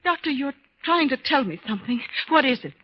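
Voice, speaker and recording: An actress playing a frightened, pleading wife, urgent and melodramatic, in 1950s radio horror style. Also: female voice, high-pitched, expressive, brisk. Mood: anxious, questioning. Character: frightened, pleading wife desperate to save her husband. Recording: dull, lo-fi vintage radio recording.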